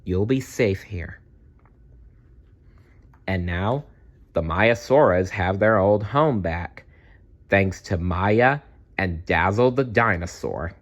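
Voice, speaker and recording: A man narrates a children's storybook at a very unhurried pace. His lowish voice moves through a wide range of pitch, with expressive, deliberate delivery. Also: lo-fi sound. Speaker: storybook reader. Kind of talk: children's read-aloud narration.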